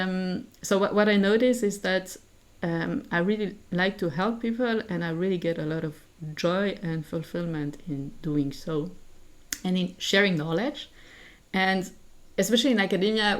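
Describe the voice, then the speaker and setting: Earnest Swiss woman thinking aloud. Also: fairly low pitch. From Switzerland. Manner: earnest, thinks aloud with many fillers and restarts. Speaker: guest, assistant professor and founder. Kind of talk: conversational interview answers.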